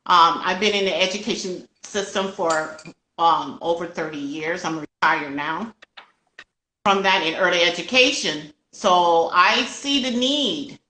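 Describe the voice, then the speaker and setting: A woman's voice: on the low side, a little slow, bright, forceful tone, echoey room, rough recording. An older woman hosting a casual book interview in a chatty, effusive, talkative way. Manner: talkative, effusive with frequent interjections and ready laughter. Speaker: host of a children's book channel.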